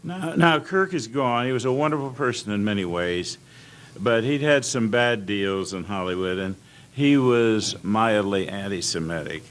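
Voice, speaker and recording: An older American man telling anecdotes as a guest on an informal panel, halting and rambling as he reminisces. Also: on the low side, expressive, fairly bright tone. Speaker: panel guest at old-time radio convention. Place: indoor convention panel room. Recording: clearly noisy convention room recording.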